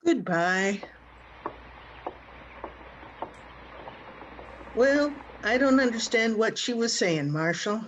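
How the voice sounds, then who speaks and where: A woman's voice: a little high, animated, a little slow, a little hiss or hum. A woman playing a worried, hard-up frontier widow in a radio western, with plain rural, hesitant, apologetic speech. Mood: puzzled. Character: worried, starving frontier widow searching for her son. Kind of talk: old-time radio western acting. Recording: dull, lo-fi video-call audio.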